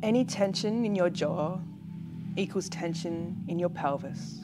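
A woman leads a guided relaxation meditation in a gentle, calm, unhurried way, leaving long pauses between phrases. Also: fairly low pitch. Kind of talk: guided pregnancy relaxation meditation, spoken slowly and soothingly. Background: background music.

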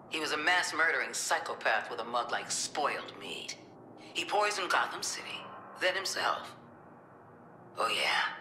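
Game role: contemptuous middle-aged woman in command, very forceful, low for a woman, echoey room. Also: a little hiss or hum, full character voice. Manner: blunt, contemptuous, menacing. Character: hard, threatening middle-aged female government boss. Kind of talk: tough video game dialogue.

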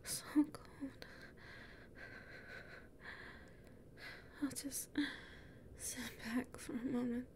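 High, soft, halting young heroine acted by a woman. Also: steady tone, whispered. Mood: exhausted, fading. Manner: hesitant, halting, muttering to herself. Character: weary, anxious human woman exploring a cold castle. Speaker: audio roleplay voice actor. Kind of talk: audio roleplay acting, solo monologue.

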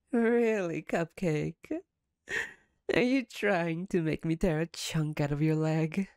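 A woman's voice: lowish voice, a little mellow, dry recording, clean studio sound. A woman playing a playful, domineering female vampire captor in an intimate ASMR roleplay, teasing and sardonic. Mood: exasperated, teasing.

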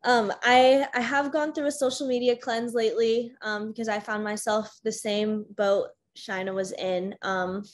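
A young American woman hosting a casual video-call discussion, talking with many fillers and soft laughs. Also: on the high side, steady tone, moderate pace, few pauses. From United States. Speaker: discussion host. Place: home room with bookshelves. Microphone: webcam on a video call.

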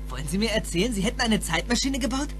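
Casting: teenage sidekick in radio play, eager, flustered, animated delivery. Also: male voice, high voice, rapid-fire, over music. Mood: astonished. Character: teenage boy, eager, easily astonished sidekick. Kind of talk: German audio drama acting.

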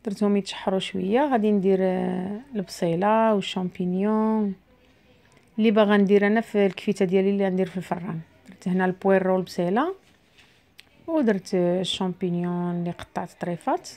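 A woman's voice: medium pitch, fast, warm tone. A middle-aged woman with a Moroccan Arabic accent, chatting through each cooking step in a home cooking voice-over. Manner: chatty, explains each step as she cooks. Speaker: cooking vlogger.

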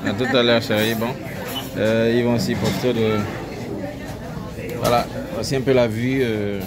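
A man presents a casual vlog in a mid-pitched voice, pausing as he goes. Clear restaurant chatter surrounds him on the handheld recording. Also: level tone. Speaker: family vlog host. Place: indoor restaurant booth. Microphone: handheld camera or phone.

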